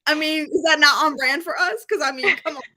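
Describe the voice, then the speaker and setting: A woman hosting a book readalong, chatting casually and excitedly, with an emphatic, gushing way of repeating herself. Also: sits high, brisk. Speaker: host of a book readalong live stream.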